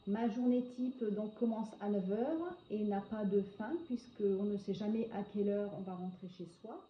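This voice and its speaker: A woman in her forties from northern France, a hairdresser answering interview questions in a hesitant way, often pausing on filler sounds. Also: medium pitch. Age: 40s. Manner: hesitant, frequent filler pauses. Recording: echoey, dull lo-fi sound with faint noise.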